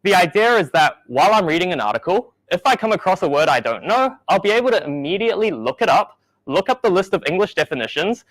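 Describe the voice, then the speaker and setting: A young man raised in New Zealand giving a measured, explanatory tech talk at a meetup, with the odd dry joke. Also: high-pitched, sing-song, fairly bright tone, dry recording, low-quality recording. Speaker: meetup speaker.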